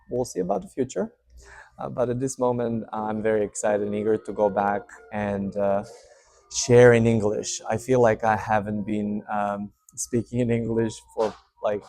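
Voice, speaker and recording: A man in his thirties from Serbia with a Serbian accent, hosting his channel and talking straight to camera in a relaxed, unscripted way with many fillers. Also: medium pitch, dry recording. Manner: warm, hesitant, many fillers. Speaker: channel host. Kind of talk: casual unscripted update to camera. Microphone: speaking to camera.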